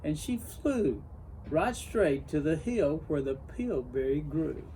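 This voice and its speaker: Male story reader, higher-pitched, very slow, expressive children's narration, background noise. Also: low-quality recording.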